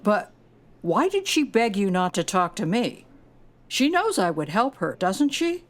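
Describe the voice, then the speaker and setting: Protective adult granddaughter for audio drama: troubled, tough-minded, earnest, mid-range voice, measured slow pace. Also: female voice, medium pitch, sing-song. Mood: defensive.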